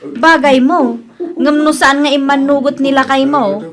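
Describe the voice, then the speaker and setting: A woman's voice: high-pitched, steady tone, unhurried. A woman playing a chatty, prying friend in an Ilocano comedy radio drama, speaking with an Ilocano accent. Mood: teasing. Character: nosy, gossiping Ilocano woman friend of the wife. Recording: music under the voice. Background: music.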